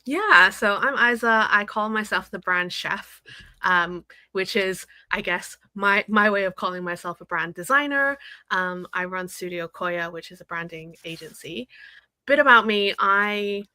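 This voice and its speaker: A woman's voice: medium pitch, a little slow, sharp tone, no room sound. A woman in her thirties from Hong Kong, a brand designer chatting as a guest on a live video call, laughing often. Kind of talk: casual interview chat.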